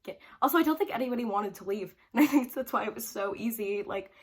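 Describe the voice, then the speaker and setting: A woman's voice: fairly high pitch, fast, breathy edge. Teenage girl, vlogger, casual storytelling, bubbly, rambling, giggly, lots of fillers. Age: teens. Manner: giggly, laughs often between phrases.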